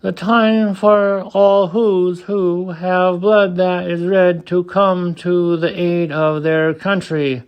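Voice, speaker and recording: A man's voice: sits high, flat delivery, slow pace, few pauses, clean tone, highs cut off, voice pushed up. A man voicing a tiny town's mayor in a children's storybook, urgent and rallying.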